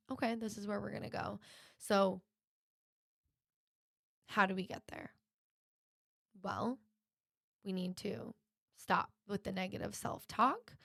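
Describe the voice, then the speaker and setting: A woman delivers a motivational podcast monologue in a medium-pitched voice with noticeable creak. She is encouraging and drives her points home by restating them. The sound is dry, with very little room in it. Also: dry recording. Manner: encouraging, emphatic repetition. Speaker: podcast host.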